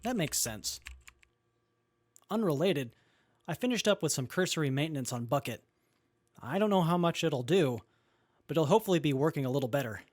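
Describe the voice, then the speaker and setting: Higher-voiced, earnest young tinkerer male actor. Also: lively intonation, brisk, pauses often, dry recording, clean studio sound. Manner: earnest, guileless. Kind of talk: audio drama acting.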